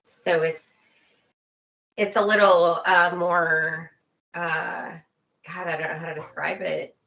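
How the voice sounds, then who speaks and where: A woman with a fairly low voice talks casually as an interview guest. She explains things earnestly, pausing haltingly and repeating phrases to drive them home. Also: flat delivery, a bit bright, rough recording.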